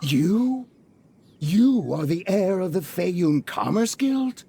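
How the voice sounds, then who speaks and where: A woman doing a gravelly, blustering greedy merchant. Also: lowish voice, very animated, slow pace, dark tone, a little hiss or hum, heavily acted. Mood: indignant. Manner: indignant, huffing, gravelly. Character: pompous greedy older merchant man, blustering. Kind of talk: game cutscene voice acting.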